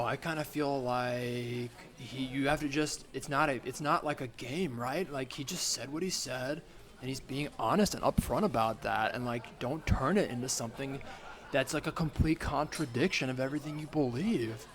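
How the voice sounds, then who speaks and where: A man's voice: medium pitch, normal speed, fairly bright tone, natural voice. A man playing a sensible but envious young woman in improvised comedy, talking to a friend in an incredulous, scolding manner. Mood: measured, reasoning. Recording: noisy remote recording.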